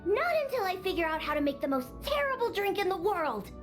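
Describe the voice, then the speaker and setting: A woman's voice: very high-pitched, sing-song, a little fast, faint music behind. A woman voicing a grumpy, bossy young cat-girl bartender in an anime game, curt and indignant. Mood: indignant, scornful.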